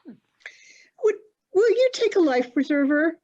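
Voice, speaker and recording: A prim, timid society lady of mature years, played by an actress, with a high and animated voice. She sounds fussy and proper, and the video call audio is dull and lo-fi. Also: slow, full character voice. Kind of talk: stage comedy acting over video call.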